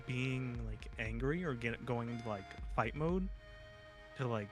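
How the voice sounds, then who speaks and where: A man's voice: mid-range voice, lively intonation, measured pace. Guy rambling on a stream, lots of "like", music going behind. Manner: rambling, many "like" fillers. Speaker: guest. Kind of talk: casual chat.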